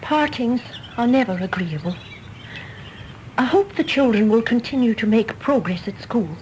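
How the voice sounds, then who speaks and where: Actress as prim, high-pitched governess. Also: female voice, expressive, moderate pace, a little mellow, slight background noise, lightly acted, low-quality recording. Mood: formal, restrained. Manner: formal, precise. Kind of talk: radio drama acting.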